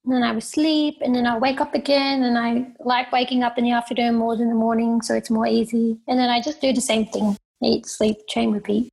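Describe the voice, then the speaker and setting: Australian woman, plain and halting. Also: fairly high pitch, level tone, vocal fry, darkish tone, husky, poor audio. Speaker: guest, professional fighter. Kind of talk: interview answers.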